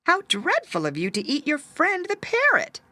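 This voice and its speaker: A woman's voice: huge pitch swings, moderate pace, pushed and bright, no echo, full character voice. A woman voicing a shocked old woman who scolds reproachfully in a storybook tale. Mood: shocked, scolding. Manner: high-pitched, exclaiming, reproachful.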